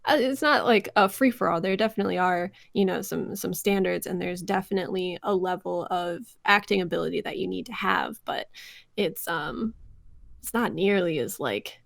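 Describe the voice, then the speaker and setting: Young woman with a creaky, fry-edged voice. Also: fairly low pitch, normal speed, no echo, studio quality. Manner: informal, giggly, animated storytelling. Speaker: voice actor guest. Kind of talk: casual interview chat.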